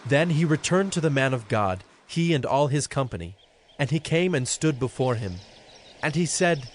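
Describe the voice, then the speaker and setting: A man's voice: mid-range voice, expressive, moderate pace, slight background noise. A man giving scripted narration for a dramatized scripture recording. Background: instrumental music.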